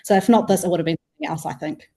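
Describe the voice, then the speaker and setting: A young woman with a New Zealand accent and a slightly low voice. She speaks casually and conversationally, as a guest in an interview. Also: rapid-fire, vocal fry, warm tone. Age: 20s. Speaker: podcast guest, food entrepreneur.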